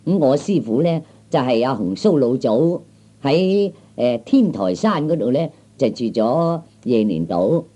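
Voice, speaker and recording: A man playing a small, skinny, boastful kung fu braggart in a Cantonese comic radio play, talking haltingly with many interjections. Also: medium pitch, very animated, dark tone. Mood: smug, mock-modest. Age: 30s.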